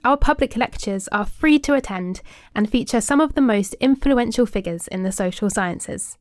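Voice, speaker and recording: A woman's voice: on the high side, moderate pace, darkish tone, no room sound, clean studio sound. A woman reading a scripted promotional announcement for a podcast.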